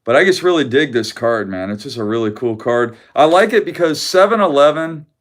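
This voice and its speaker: A man chatting loosely on a live stream about his trading card collection, with a loud voice that carries, frequent laughs and many restarts. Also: mid-range voice, animated, brisk. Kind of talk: casual live-stream chat. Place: home room with weak Wi-Fi.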